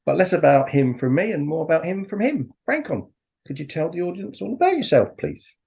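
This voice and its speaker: A man's voice: a little fast, vocal fry. A man hosting a business coaching podcast, opening the show in an upbeat presenting manner. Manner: lively, upbeat presenting with wide pitch swings. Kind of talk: scripted podcast intro. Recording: faint guitar music behind. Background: faint plucked guitar music.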